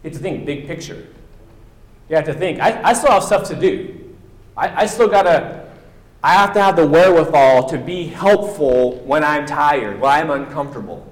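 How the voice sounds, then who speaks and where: A man's voice: fairly high pitch, animated, moderate pace. A man preaching a sermon with emphatic delivery, acting out quoted voices as he goes. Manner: emphatic, acts out quoted voices, rising to near-shouting. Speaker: pastor. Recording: echoey hall with long reverb.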